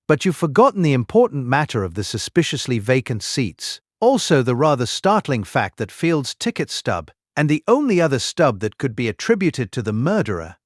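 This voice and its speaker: Man voicing grumbling older police inspector, lively intonation. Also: mid-range voice, no echo, studio quality, voice pushed up. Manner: grumbling, shrewd, formal old-fashioned phrasing. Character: Queen, older male police inspector, gruff, seasoned father. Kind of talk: full-cast audiobook mystery drama.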